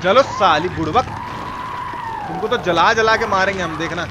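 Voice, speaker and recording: A man with a Bihari accent giving excited, colloquial gameplay commentary as a live-streamer, repeating himself and exclaiming. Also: high voice, moderate pace. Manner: colloquial, repetitive, exclaiming, mock-abusive. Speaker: live-streamer playing a horror game. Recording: noisy stream with game sounds behind.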